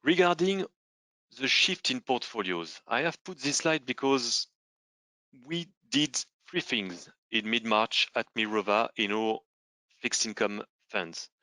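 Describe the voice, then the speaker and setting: A man working as a fund manager, explaining slides in a deliberate, emphatic way. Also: mid-range voice, animated, bright, forceful tone, no room sound, rough recording.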